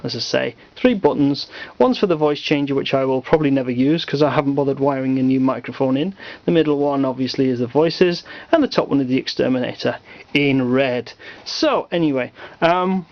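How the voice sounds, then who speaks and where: A British man giving a casual, halting hobbyist demonstration at home, explaining things offhandedly. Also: medium pitch. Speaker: hobbyist presenter. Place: small cluttered room at home. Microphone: handheld camera microphone. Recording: slightly muffled lo-fi camera audio.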